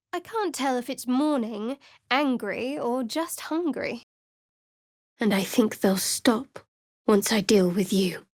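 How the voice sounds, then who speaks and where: An actress voicing a guarded, devout dark cleric woman in a video game, with a dry, sardonic, measured delivery. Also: fairly high pitch, no echo. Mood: watchful, measured. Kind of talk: video game character voice acting.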